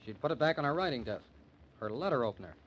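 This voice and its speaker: Quick-talking young American sleuth. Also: male voice, medium pitch, very animated, husky. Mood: accusing. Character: sharp, confident young boyfriend turned amateur sleuth. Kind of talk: old-time radio drama acting. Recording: muffled, noisy old radio recording.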